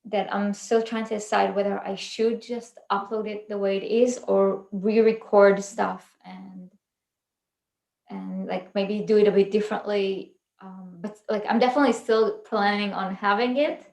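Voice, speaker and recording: A woman hosting a relaxed fan livestream, talking in a loose, meandering way full of ums and restarts. Her voice sits in a middle register and comes through with a roomy, echoey sound around it. She keeps the tone conversational and unscripted. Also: medium pitch, unhurried, vocal fry. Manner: chatty, rambling with fillers and restarts. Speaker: channel host. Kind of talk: casual livestream chat.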